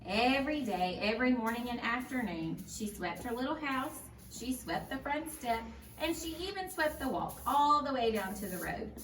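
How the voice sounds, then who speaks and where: A woman's voice: a little high, expressive, normal speed, a bit bright. A woman reading a children's story aloud as a storyteller, in scripted narration. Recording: roomy, distant mic, faint noise.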